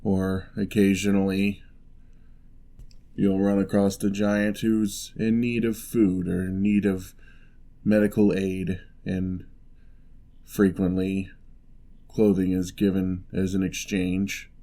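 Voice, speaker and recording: A man playing a lonely, gentle male giant in a fantasy ASMR roleplay, speaking in a measured, wry, slightly formal way. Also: low voice, level tone. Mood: matter-of-fact, bargaining.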